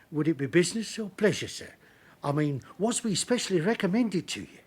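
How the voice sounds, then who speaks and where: A sly London second-hand dealer in a radio drama. His voice sits fairly high and moves about a lot, wheedling with mock respect and cheeky evasion. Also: animated, normal speed, slightly rough. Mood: oily, amused. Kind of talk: British radio drama acting.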